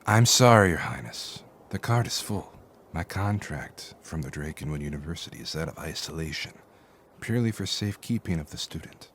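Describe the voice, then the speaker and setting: Guy playing a humble old-road cart driver, really low voice, polite and thoughtful, fantasy audio drama, some background noise. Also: animated, moderate pace, a bit bright. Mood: humble, courteous. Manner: formal, deferential, reflective. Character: weathered male cart driver, humble, courteous, philosophical.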